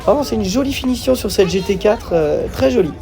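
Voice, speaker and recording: A man's voice: high voice, animated, fairly quick, creaky, mellow, faint music behind. A man with a slight head cold gives casual vlog commentary as a host, hesitating and repeating words as he goes.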